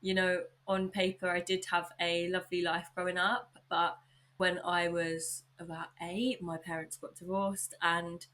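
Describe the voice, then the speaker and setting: A young woman with an English accent hosting her own podcast, chatting warmly and informally, a little husky from a sore throat. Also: lowish voice, little pitch movement, measured pace. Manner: upbeat, chatty, lots of likes and you knows. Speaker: podcast host. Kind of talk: casual solo podcast chat.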